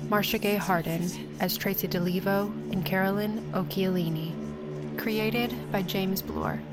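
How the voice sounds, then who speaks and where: Female credits announcer for audio fiction, medium pitch, scripted read over prominent music. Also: fairly even intonation, unhurried. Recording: very loud music behind.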